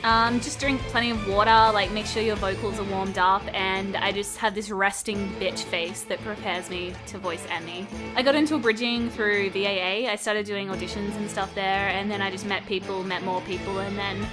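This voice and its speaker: Female voice actor, medium pitch, casual fan Q&A over background music. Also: fairly even intonation, moderate pace, hardly any pauses. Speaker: amateur voice actor in an abridged series. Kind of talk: casual answers to fan questions.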